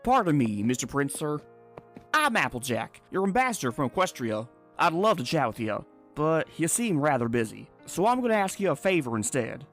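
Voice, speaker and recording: A man's voice: a little high, sing-song, normal speed, a bit bright. A man voicing a plucky, polite young female pony with a folksy, earnest manner, in a fan comic dub. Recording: music under the voice.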